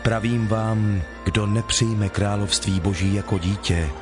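A man playing Jesus as a solemn, authoritative teacher in a dramatized scripture reading, speaking in measured declarations. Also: on the low side, fairly even intonation, natural voice. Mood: solemn, instructive. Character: Jesus, solemn teacher telling parables and instructing followers. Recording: music behind the voice.

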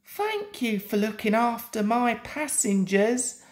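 An anxious young boy engine from a storybook , acted out by a woman. He talks slowly and earnestly, with a fretful edge and a very mobile pitch. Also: big pitch swings. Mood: grateful.

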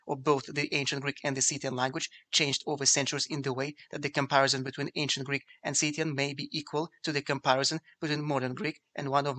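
A man whose first language is Ukrainian, narrating a scripted lecture in a measured, explanatory way. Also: mid-range voice, bright, forceful tone. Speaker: video essay narrator.

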